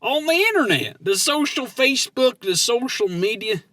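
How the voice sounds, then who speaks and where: A man's voice: very high-pitched, big pitch swings, moderate pace, sharp tone, no room sound. A man hosting a comedy podcast in casual banter, with a folksy, rambling, playfully naive way of talking.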